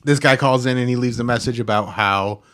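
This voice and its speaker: A man hosting a podcast in casual, rambling conversation, telling things in a halting, informal way. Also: mid-range voice, a bit bright, clean tone. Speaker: podcast host. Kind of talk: casual chat, rambling storytelling.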